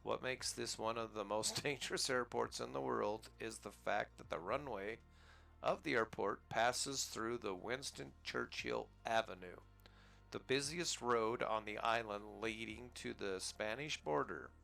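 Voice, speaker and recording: American guy rambling thru a flight sim, super gravelly voice but kinda bright, easygoing. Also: medium pitch, a little slow, faint music behind. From United States. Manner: rambling, easygoing, chuckles at himself. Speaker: flight sim gaming channel host. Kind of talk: casual gameplay commentary.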